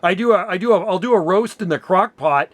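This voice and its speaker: A man's voice: high-pitched, fairly quick, no echo. A man from Canada chatting enthusiastically and casually as an amateur radio operator.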